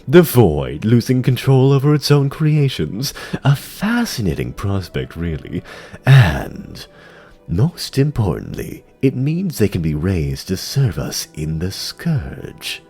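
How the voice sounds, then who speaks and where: Man voicing an imperious scheming demon lord, swooping pitch. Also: huge pitch swings, dark tone, soft background music, heavily acted. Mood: scheming, smug. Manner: smooth, mockingly deferential, measured. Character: imperious, scheming bat-winged male demon dreadlord. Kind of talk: fantasy audio drama acting.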